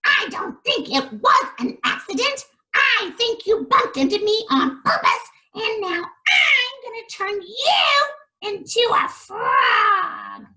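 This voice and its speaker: A woman's voice: extremely high pitch, sing-song, slow pace, bright, forceful tone, raised voice, pitched above the performer's own voice, full character voice, poor audio. A woman voicing a grumpy old witch who cackles and shrieks her spiteful lines.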